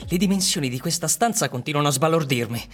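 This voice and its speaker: A man's voice: fairly high pitch, animated, fast talker. An actor playing an amiable, accommodating young man in a radio play. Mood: pleased. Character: John, amiable accommodating young man in cramped shared room.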